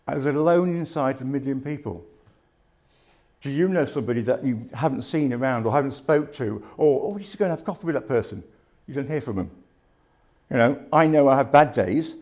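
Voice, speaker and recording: Earnest fifty-something man, mellow voice. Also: medium pitch. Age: 50s. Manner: candid, plain-spoken, earnest. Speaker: speaker sharing lived experience. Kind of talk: personal testimony at a live talk. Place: live talk before an audience.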